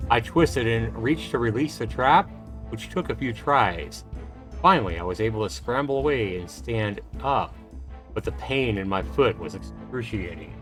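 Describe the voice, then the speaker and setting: A man hosting a livestream, reading a visual novel's first-person story aloud in an expressive, suspenseful way. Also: mid-range voice. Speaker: livestream host. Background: game music.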